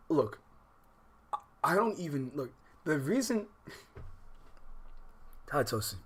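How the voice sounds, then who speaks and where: A man doing casual livestream commentary on a game, sometimes reading its text aloud, talking in profane, exclamatory slang and repeating phrases insistently. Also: mid-range voice. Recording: music behind the voice. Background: background music, clearly heard.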